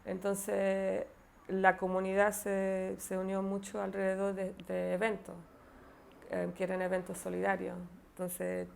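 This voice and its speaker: A woman's voice: medium pitch, vocal fry, a little mellow, a little hiss or hum. A woman in a documentary interview, recalling her childhood hesitantly, with frequent fillers and English phrases slipped into her Spanish.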